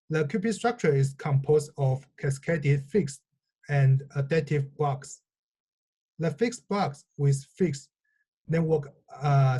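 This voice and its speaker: Male research presenter, fairly high voice, scripted and measured, explaining technical material step by step. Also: warm tone. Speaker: researcher presenting own work.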